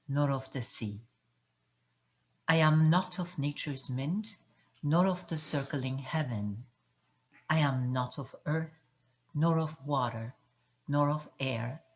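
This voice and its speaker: Older woman reciting poetry very slowly. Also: a bass voice, low-quality recording. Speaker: reader of translated poetry. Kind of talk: solemn poetry recitation in measured phrases.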